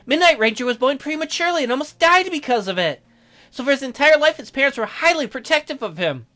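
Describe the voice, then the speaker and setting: A man voicing an excitable, touchy young nerdy woman for a visual novel, in an indignant, emphatic manner. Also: very high-pitched, pitched above the performer's own voice, full character voice. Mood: earnest. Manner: indignant, emphatic, fast-swinging.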